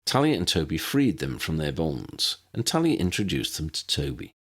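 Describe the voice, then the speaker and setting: Deep raspy guy reading an audiobook story, lots of rise and fall. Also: big pitch swings, moderate pace, fairly bright tone. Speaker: audiobook narrator. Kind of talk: scripted story narration.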